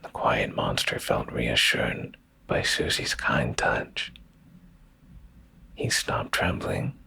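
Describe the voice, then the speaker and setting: A man with a rock-bottom, heavily fried voice reads in a hushed bedtime ASMR style. His delivery is unhurried and drawn out, with soft, soothing phrasing right up against the microphone. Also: expressive. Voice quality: very deep, gravelly, breathy near-whisper. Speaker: ASMR streamer and bedtime story reader. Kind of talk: ASMR, slow soft bedtime story reading. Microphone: close ASMR microphone.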